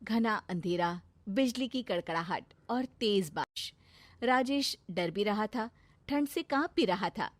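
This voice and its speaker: A woman's voice: mid-range voice, expressive, a little slow, dry recording. A woman storyteller reading a scripted moral tale for children.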